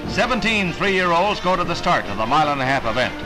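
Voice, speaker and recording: High-pitched American newsreel announcer man. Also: big pitch swings, brisk, low-quality recording. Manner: dramatic, formal announcer delivery. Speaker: newsreel narrator. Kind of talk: 1960s newsreel narration, scripted. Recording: vintage newsreel soundtrack, loud music behind.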